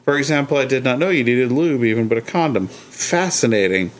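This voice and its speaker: A man's voice: mid-range voice, a little fast. A man co-hosting a podcast, reading a listener email and cracking dry jokes. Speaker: podcast co-host.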